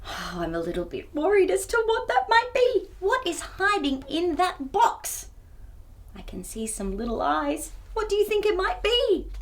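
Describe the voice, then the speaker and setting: A woman hosting a children's storytime, reading a picture book aloud in an expressive, theatrical way and chatting to young viewers. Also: extremely high pitch, normal speed. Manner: expressive, theatrical, with gasps and exclamations.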